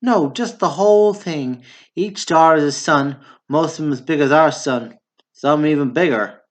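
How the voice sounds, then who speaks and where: Slow-talking actor as a blunt small-town Texan man. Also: fairly high pitch, lightly acted. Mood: wistful, reflective. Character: two Texan brothers, a drunk mean war veteran and his brother. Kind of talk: stage play dialogue.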